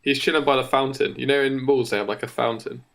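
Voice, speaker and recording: A man co-hosting a podcast on a video call, making up ideas aloud in casual, halting phrases. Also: medium pitch, steady tone, vocal fry, a bit bright. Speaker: podcast co-host. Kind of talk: casual improvised chat.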